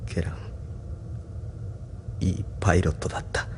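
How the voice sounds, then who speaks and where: A man's voice: moderate pace, slight background noise, close to an everyday voice. An actor playing an earnest, troubled young male pilot hero with an emotional manner in an audio drama. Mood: troubled, regretful. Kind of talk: Japanese audio drama acting.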